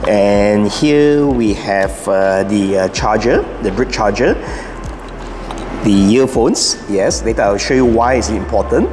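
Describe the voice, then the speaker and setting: A man hosting a casual product guide, explaining things step by step. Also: lowish voice. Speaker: YouTube host. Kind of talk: casual unboxing and setup guide. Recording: echoey room with long reverb, music behind. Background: clear background music.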